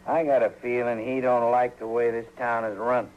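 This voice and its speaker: Male actor as hostile saloon tough, needling, mid-pitched, dull vintage radio sound. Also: flat delivery, moderate pace, close to an everyday voice. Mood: menacing. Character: surly frontier saloon bully. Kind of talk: old-time radio western acting. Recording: dull old radio recording.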